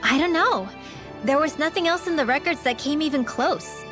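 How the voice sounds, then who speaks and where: A woman's voice: sits high, animated, moderate pace, music in the background. A woman voicing a curious, studious young female mage in a video game, earnest and bookish in her talk. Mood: pondering. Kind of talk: video game cutscene acting.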